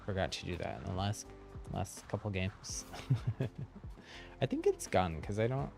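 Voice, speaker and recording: A man's voice: fairly low pitch, big pitch swings, unhurried, creaky, music in the background. A man giving casual live gaming commentary as a streamer, chatting in an easygoing way and thinking aloud. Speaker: game streamer.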